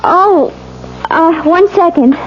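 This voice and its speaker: An actress playing a frightened young newlywed wife in a 1970s radio drama, fretful and hesitant. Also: female voice, very high-pitched, takes it slowly, background noise. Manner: hesitant, fretful, talks to herself. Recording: muffled old radio, loud music behind.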